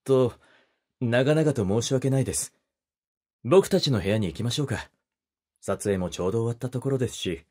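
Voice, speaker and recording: An actor playing a polite, gentlemanly young wedding photographer who speaks softly and teases the listener in an audio drama. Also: male voice, medium pitch, animated, normal speed, pauses often, natural voice. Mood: polite, gentle. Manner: soft-spoken, polite, teasing.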